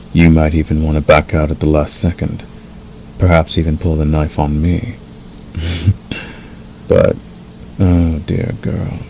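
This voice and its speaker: Casting: male actor as possessive werewolf suitor for ASMR roleplay; extremely low, gravelly growl, seductive menace. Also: darkish tone, full character voice. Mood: menacing. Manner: slow, deliberate, coaxing yet threatening. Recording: faint background noise.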